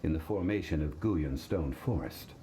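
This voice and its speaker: A man voicing a composed, ancient male scholar in a video game, speaking in a measured, formal, explanatory way. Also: extremely low pitch, noisy background. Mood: calm.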